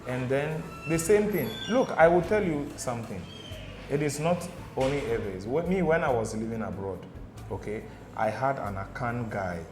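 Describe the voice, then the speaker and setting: A man's voice: mid-range voice, normal speed. A Ghanaian man chatting as an interview guest, telling stories and checking in often with "okay?" and "do you understand?". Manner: animated storyteller, repeats "okay?" and "do you understand?". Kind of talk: casual studio interview. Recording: echoey room with music behind.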